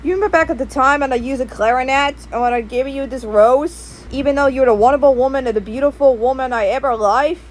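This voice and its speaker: A woman's voice: high-pitched, fast, few pauses, slight background noise, close to an everyday voice. A woman voicing a persistent, lovesick ex-boyfriend in an amateur story, wheedling and rambling as he pleads. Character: persistent, lovesick ex-boyfriend, a former prom king.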